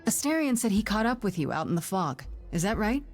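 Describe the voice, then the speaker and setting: A level-headed young heroine in a fantasy game. Her voice sits at a medium pitch, naturalistic and casual, with dry scepticism and soft music behind it. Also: big pitch swings. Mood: questioning. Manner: casual modern phrasing, measured, dryly sceptical. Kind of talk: naturalistic video game voice acting.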